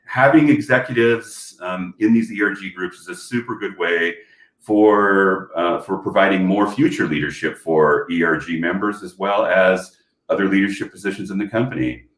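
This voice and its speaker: A man's voice: sits low, moderate pace, fairly bright tone. A man chatting on a panel from home over a video call, rambling with lots of fillers and restarts. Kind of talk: casual panel chat. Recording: echoey room.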